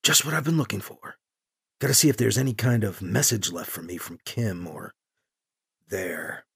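A man's voice: on the low side, moderate pace, gravelly, a bit bright, slightly rough, pitched below the performer's own voice, heavily acted. A man playing a wry, anxious protagonist in an audio story, muttering terse, sardonic thoughts aloud. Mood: expectant. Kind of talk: audio story character acting.

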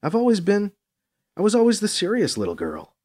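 A man's voice: high-pitched, wildly swooping pitch, fairly quick, darkish tone, no echo, clean studio sound, voice pushed up, heavily acted. A man voicing a wry, teasing woman who is guarded underneath, in an audiobook. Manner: teasing, playful.

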